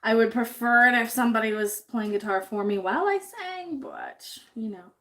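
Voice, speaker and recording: A woman's voice: a little high, expressive, moderate pace, fairly bright tone. A woman chatting casually on a livestream while she cooks in her home kitchen, sniffly from chopping jalapenos and reading viewer comments aloud. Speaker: livestream host cooking.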